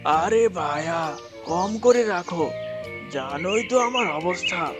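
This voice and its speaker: A man's voice: high voice, expressive, takes it slowly, over loud music. A man voicing a humble, familiar male crow who is a devoted husband in a children's cartoon. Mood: wheedling.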